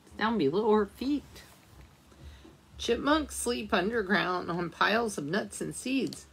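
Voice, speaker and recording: A woman librarian reading a picture book aloud at storytime, slowly and gently, pausing to ask the children questions. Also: mid-range voice, lively intonation, gravelly, husky.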